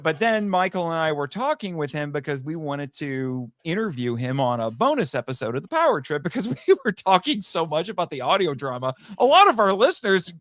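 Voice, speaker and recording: A man chatting casually as a podcast guest, telling anecdotes in a rambling, animated way and acting out bits of conversation. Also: on the high side, brisk, hardly any pauses, no echo, studio quality. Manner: rambling, animated storytelling with acted-out quotes. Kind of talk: casual anecdotal interview chat.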